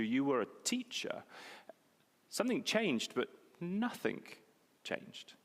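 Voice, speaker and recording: A man's voice: fairly high pitch, a bit bright. A man, preaching a sermon in a conversational, self-deprecating way and repeating key phrases for emphasis. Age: 40s. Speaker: pastor. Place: church hall. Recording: long reverb of a church hall.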